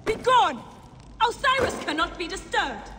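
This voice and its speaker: A high-voiced, imperious sorceress in echoing halls. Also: female voice, very animated, unhurried, noisy background, heavily acted. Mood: wrathful. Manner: imperious, theatrical, declamatory. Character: fierce, grieving sorceress mother, fanatical tomb guardian. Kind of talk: dramatic video game acting. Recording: echoey reverberant hall with music behind.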